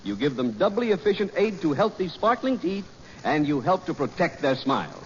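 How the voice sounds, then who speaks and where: A man's voice: a little high, sing-song, moderate pace. A man reading a commercial as an announcer in the style of 1940s radio advertising. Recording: lo-fi 1940s radio broadcast, faint noise.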